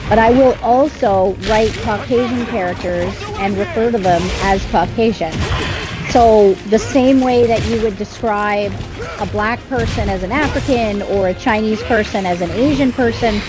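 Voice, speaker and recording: A woman's voice: medium pitch, rough recording. A woman, an author talking as a guest on a live stream, explaining earnestly and often restarting her sentences. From Canada. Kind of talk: casual conversation on a live stream.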